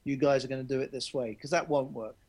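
A man's voice: mid-range voice, fairly even intonation, fast talker, a little mellow. A man being interviewed as a rugby journalist, talking candidly and often restarting his sentences. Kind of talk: conversational interview answers.

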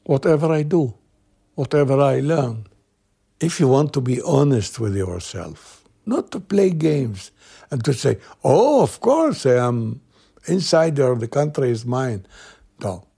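Slow gravelly older man, halting speech. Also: mid-range voice, very animated, mellow. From Iraq. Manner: slow, halting, plain-spoken. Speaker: interviewee. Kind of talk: reflective interview.

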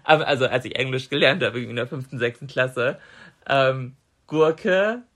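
A woman's voice: a bass voice, normal speed. A woman in her thirties, a podcast co-host, telling an anecdote in casual chat and acting out what she said back then. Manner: animated storyteller, laughs often, acts out her own quotes.

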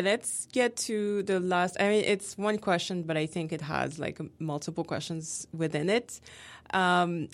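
Woman, interview host, slightly low voice, chatty, lots of fillers. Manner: chatty, many fillers and restarts. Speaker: podcast host. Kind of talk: casual conversational interview.

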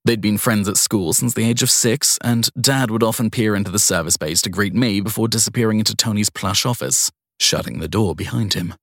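A man reading a scripted first-person crime story in a measured storytelling manner, with dramatic pauses. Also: on the low side, fairly bright tone, dry recording, clean studio sound. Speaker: narrator of a short crime story.

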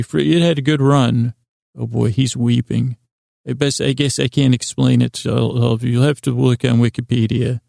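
Meandering man with a very creaky, gravelly voice. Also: mid-range voice, dark tone, dry recording, studio quality. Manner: mumbling, stumbling, halting and meandering; self-deprecating, apologetic. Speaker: podcast host. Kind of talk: rambling bedtime monologue to help listeners sleep.

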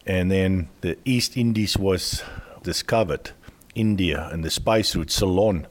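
A South African man, a native Afrikaans speaker, telling stories about his childhood as an interview guest. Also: low-pitched.

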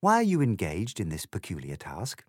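A man's voice: low voice, huge pitch swings, normal speed, no room sound, clean studio sound, heavily acted. A man voicing a polite, curious young girl who speaks primly. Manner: prim and polite.

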